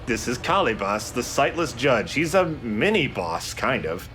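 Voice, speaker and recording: A man's voice: mid-range voice, very animated, a little slow, fairly bright tone, a little hiss or hum. A man giving casual, explanatory game commentary as a let's play host. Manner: explanatory, conversational. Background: game music.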